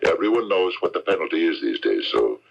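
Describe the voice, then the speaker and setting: A middle-aged man playing a seasoned, suspicious private detective in a radio mystery, wry and blunt with a gravelly voice. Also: low voice, very animated, fairly quick, highs cut off, heavily acted. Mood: firm, knowing. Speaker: radio drama actor. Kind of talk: 1970s radio mystery acting.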